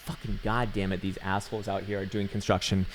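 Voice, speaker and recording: A raspy-voiced man giving casual, rambling chart analysis, swearing now and then and full of fillers and restarts. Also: low-pitched, little pitch movement, brisk, a little hiss or hum. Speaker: market analyst.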